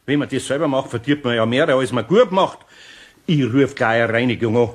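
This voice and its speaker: An actor with a Bavarian accent playing a good-natured but fussy older master carpenter in a radio play, grumbling and fretting in a homely way. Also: male voice, medium pitch, a little fast, slightly rough, lightly acted. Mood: flustered, upset.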